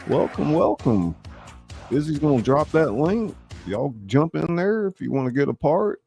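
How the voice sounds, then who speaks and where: Folksy man, lively and gravelly. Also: fairly high pitch, normal speed. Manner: welcoming, greeting listeners by nickname, folksy. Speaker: host of a live script-reading show. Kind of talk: casual livestream chat. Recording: music playing behind the voice. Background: background music.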